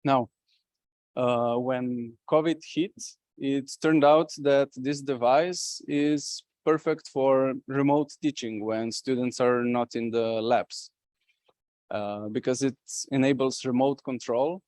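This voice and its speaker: A man's voice: medium pitch, fairly even intonation, slow pace, darkish tone, no echo. A man from Slovenia giving a conference presentation as a company representative, talking with many fillers and restarts.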